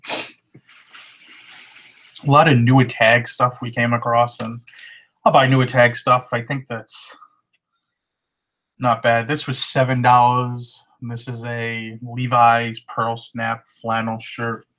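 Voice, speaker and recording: A man in his thirties casually showing his thrift finds at home, in a relaxed, matter-of-fact way. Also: medium pitch, slow, long pauses. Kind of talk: casual haul vlog. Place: living room at home. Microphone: webcam at desk.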